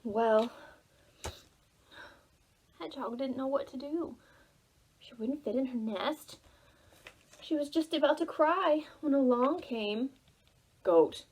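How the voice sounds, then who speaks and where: A woman telling a story to preschoolers as a children's librarian, in a sing-song manner with drawn-out words. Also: sits high, a little mellow, slightly rough.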